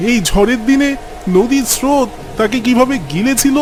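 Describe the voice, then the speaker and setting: A man's voice: very high-pitched, normal speed, a little mellow. A man playing a concerned, brotherly fisherman in a cartoon, calling out and imploring. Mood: worried warning. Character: concerned fellow fisherman, brotherly, urgently cautioning. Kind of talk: cartoon dialogue. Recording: faint music behind the voice.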